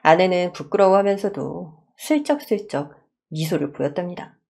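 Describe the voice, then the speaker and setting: A woman's voice: lowish voice, moderate pace, creaky, darkish tone, slightly rough. A woman narrating a dramatized first-person story for a radio-style story channel.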